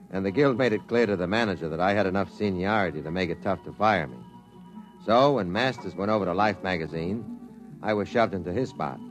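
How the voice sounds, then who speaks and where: An actor playing a wry, seasoned newspaper reporter who narrates in a dry, conversational 1950s radio drama manner. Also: male voice, low voice. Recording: vintage 1950s radio broadcast.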